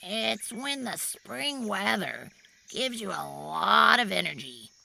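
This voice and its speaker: A woman voicing a slow, calmly concerned water buffalo in a storybook, gravelly and deliberate. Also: medium pitch, a little hiss or hum, heavily acted, rough recording.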